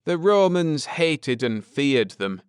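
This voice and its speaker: A man voicing a grim, intense space-travelling husband in an audiobook, lecturing gravely and earnestly with drama. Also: fairly high pitch, sing-song, slow, no echo, clean studio sound, pitched above the performer's own voice. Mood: grim, foreboding.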